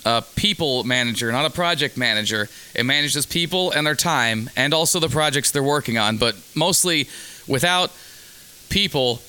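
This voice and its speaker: A man's voice: medium pitch, normal speed, bright, forceful tone, clean tone. A man in a casual chat, ranting and joking with lots of swearing and rambling restarts. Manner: rambling, profane, jokey ranting. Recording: noisy background hiss.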